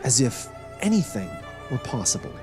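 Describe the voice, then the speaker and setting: A man with a mid-range voice delivers scripted documentary narration. His telling is polished and dramatic, and music plays clearly underneath. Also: mid-range voice, big pitch swings, normal speed. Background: background music.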